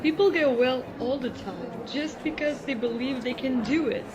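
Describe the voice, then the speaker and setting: A woman's voice: high-pitched, moderate pace, noisy background, close to an everyday voice. A woman voicing a reassuring, earnest seventh-grade boy in an audio drama. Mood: encouraging.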